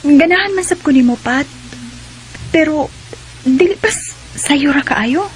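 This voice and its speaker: An actress playing a young Bisaya cashier and wife in a Filipino radio drama. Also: female voice, high voice, animated, measured pace, a little hiss or hum, poor audio. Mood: shy, hesitant. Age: young adult. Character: young restaurant cashier wife, friendly but increasingly exasperated.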